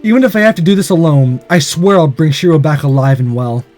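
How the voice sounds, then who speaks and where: An earnest young hero of a visual novel, read by a man, with a slightly high voice. He is determined yet anxious and speaks his thoughts dramatically over music. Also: quick pace, a little mellow, close to an everyday voice. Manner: earnest, dramatic inner monologue.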